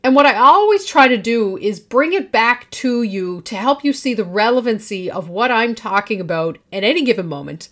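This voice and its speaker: A woman in her forties speaks on her own podcast, her voice pitched a little high. She talks with confidence and projects strongly, leaning hard on her points. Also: expressive, normal speed, few pauses, a bit bright. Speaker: podcast host. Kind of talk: solo podcast monologue.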